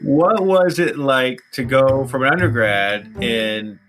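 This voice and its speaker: A man's voice: medium pitch, takes it slowly, fairly bright tone, faint music behind. A man hosting a podcast, asking a long, winding interview question. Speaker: podcast host.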